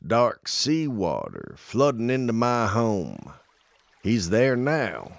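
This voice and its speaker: A man acting a grim, guilt-ridden dead man who narrates a scripted audio drama in a slow, brooding, deliberate way. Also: fairly low pitch, dry recording, studio quality, heavily acted. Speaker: narrator and in-story character. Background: music.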